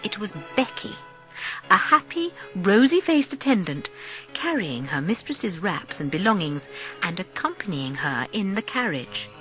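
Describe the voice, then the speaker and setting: A woman's voice: mid-range voice, big pitch swings, unhurried, bright, forceful tone, poor audio. A woman narrating a children's audiobook as dramatic, scripted storytelling. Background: music.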